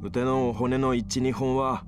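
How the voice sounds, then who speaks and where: An actor playing a cold, vengeful, ambitious young gangster fighter, curt and threatening, in dramatic video game dialogue. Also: male voice, mid-range voice, steady tone, slow pace, noisy background, lightly acted. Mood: menacing. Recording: music under the dialogue.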